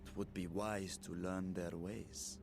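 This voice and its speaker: An actor playing a grave, determined warrior in a fantasy video game, speaking in a solemn, formal way. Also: male voice, low voice, little pitch movement, vocal fry, background noise. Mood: measured. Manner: solemn, measured, formal speech. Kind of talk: dramatic video game voice acting.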